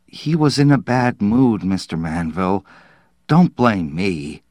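A man's voice: on the low side, sing-song, slow pace, darkish tone, no room sound, clean studio sound. A man voicing an earnest, hapless male time traveler in an audiobook, pleading and defensive.

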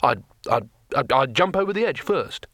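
A man's voice: fairly low pitch, big pitch swings, slow, gravelly, sharp tone, dry recording, studio quality, heavily acted. A man voicing a cowardly, frightened but defiant male wizard in an audiobook story. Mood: panicked defiance.

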